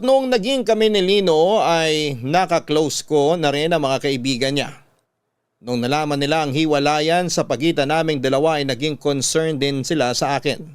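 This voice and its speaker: A man with a Filipino accent reading out a young woman's love letter as scripted radio narration, measured and heartfelt. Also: fairly high pitch, clear, resonant tone, no echo, close to an everyday voice.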